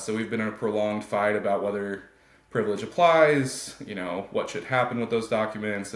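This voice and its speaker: An American man giving informal, explanatory answers as an attorney being interviewed. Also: lowish voice. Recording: roomy, echoey sound.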